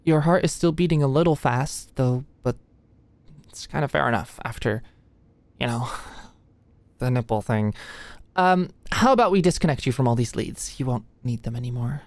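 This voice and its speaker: A woman's voice: very deep voice, expressive, normal speed, darkish tone, dry recording. A woman playing an anxious, flustered young female medical student in an intimate ASMR roleplay, shy, hesitant and stammering. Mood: puzzled, awkward. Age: young adult. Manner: stammering, hesitant, muttering asides under breath. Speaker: voice actor in audio roleplay.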